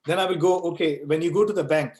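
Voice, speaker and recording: A man's voice: on the high side, quick pace, darkish tone. Man teaching over an echoey call. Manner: explains with everyday analogies, frequent "right?" and "you know". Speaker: online course instructor answering questions. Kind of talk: live online Q&A session. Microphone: video call. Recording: echoey room.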